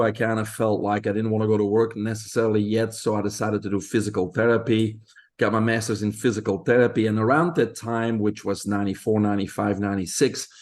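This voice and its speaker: A man in his fifties with a Belgian accent, a podcast guest telling his life story with frequent pauses. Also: on the low side. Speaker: guest expert.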